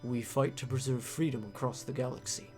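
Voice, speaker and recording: A man voicing a composed, superior high-ranking soldier in a visual novel, formal and measured in his speech. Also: steady tone, a little mellow, faint music behind, lightly acted. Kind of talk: visual novel character dub.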